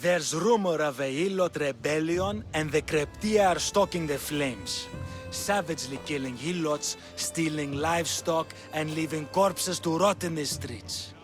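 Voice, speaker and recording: A man's voice: on the high side, measured pace, close to an everyday voice. An actor playing a sardonic, condescending Spartan king with theatrical delivery in a dramatic video game. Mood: persuasive. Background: game music.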